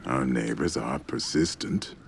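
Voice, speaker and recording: Very deep, gravelly warrior. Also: male voice, a little slow, a little hiss or hum, full character voice. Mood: dry, wary. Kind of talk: game cutscene acting.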